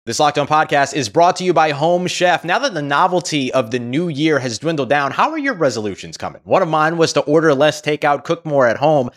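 A man's voice: fairly high pitch, lively intonation, fairly quick, hardly any pauses, faint music behind. A man reading a podcast sponsor ad as a friendly personal testimonial. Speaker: ad reader. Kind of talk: host-read podcast ad.

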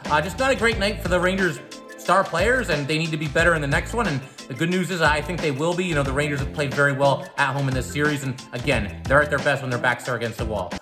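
A man who hosts a hockey podcast, giving solo commentary on a game. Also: fairly high pitch.

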